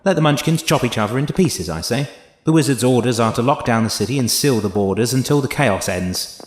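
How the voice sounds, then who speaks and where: Impatient official, male actor: sarcastic, bossy, rapid delivery, roomy echo, audio drama. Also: medium pitch, animated, a little hiss or hum, lightly acted. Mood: dismissive. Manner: withering, sarcastic.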